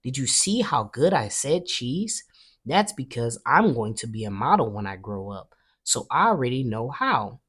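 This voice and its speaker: A woman's voice: very deep voice, big pitch swings, normal speed, breathy edge, full character voice. A woman voicing a vain, showy little girl in a children's storybook, boastful and sing-song. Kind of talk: children's storybook read-aloud.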